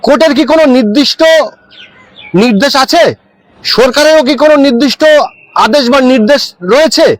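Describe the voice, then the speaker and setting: A man's voice: extremely high pitch, fairly quick, long pauses, no room sound, low-quality recording. A man speaking as an interviewer in a formal, questioning style.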